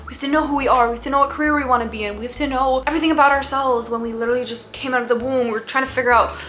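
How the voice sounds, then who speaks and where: A woman's voice: sits high, fairly even intonation, racing, a bit bright, faint music behind. A 19-year-old woman giving advice in a casual vlog, chatting to viewers in an earnest, emphatic way and repeating words for stress. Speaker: YouTube lifestyle vlogger and host. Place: indoor home filming setup by a window.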